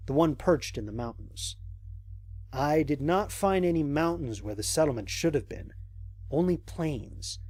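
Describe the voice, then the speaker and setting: An actor playing a cautious, observant male space explorer, recording mission logs in a measured, reflective way for an audio drama. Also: medium pitch, darkish tone, natural voice. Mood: reflective, puzzled. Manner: measured, reflective, formal diction with deliberate pauses. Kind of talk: scripted audio drama monologue.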